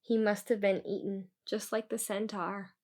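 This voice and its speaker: A woman's voice: mid-range voice, little pitch movement, normal speed, a little mellow, natural voice. A woman voicing a frightened young boy on the run, urgent and pleading, in a storybook. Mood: worried.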